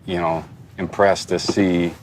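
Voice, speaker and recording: Very deep-voiced man in casual podcast chat. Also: level tone, measured pace, slight background noise. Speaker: podcast co-host.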